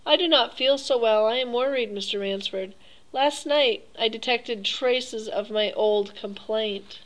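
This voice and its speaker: A silky aristocratic Cossack general, put on by a woman reading the tale, courteous and cruel at once. He stretches his words out with lingering politeness, his tone riding a little higher than the narration around him. It sounds like a storybook reading rather than a full performance. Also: fairly bright tone, rough recording. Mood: mock-weary. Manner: silky, courteous, drawn-out phrasing. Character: suave, cruel aristocratic male Cossack hunter.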